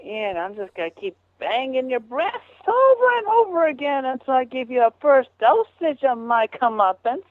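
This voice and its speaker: A man on a phone call, talking haltingly with many fillers and restarts. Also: very high-pitched, big pitch swings, dry recording. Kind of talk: rambling phone conversation. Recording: tinny phone line.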